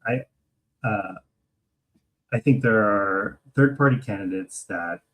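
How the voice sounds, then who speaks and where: An American man co-hosting a podcast, talking casually about politics and thinking aloud in a hesitant, halting way. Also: fairly low pitch, fairly bright tone. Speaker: podcast co-host.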